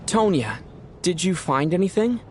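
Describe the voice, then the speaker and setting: A performer playing a calm, curious young traveler investigating a mystery in a video game. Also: mid-range voice, moderate pace, a little hiss or hum, lightly acted.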